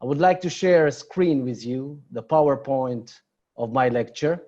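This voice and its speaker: A man's voice: medium pitch, unhurried, a little mellow. A man in his forties from Israel with an Israeli accent, giving a personal lecture with slides over an online call. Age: 40s. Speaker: guest lecturer. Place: online webinar from Beersheba. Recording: slightly dull online call audio.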